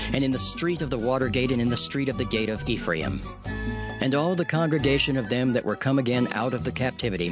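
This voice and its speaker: A male narrator with a medium-pitched voice reads scripture for an audio drama. His delivery is formal and measured, with soft music behind him.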